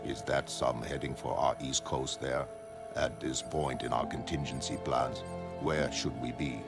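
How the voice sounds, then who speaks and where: A grave, calculating president, voiced by a man for a motion comic. The voice is very low, with dry, weighty delivery. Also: fairly even intonation, a little slow, over loud music. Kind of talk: motion comic dramatic acting.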